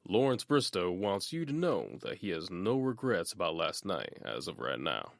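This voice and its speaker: A man voicing a big, intense middle-aged henchman in a fan audiobook, speaking in a measured, quietly warning way. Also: low voice, very animated, vocal fry, dry recording, clean studio sound, full character voice. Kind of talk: audiobook character voice.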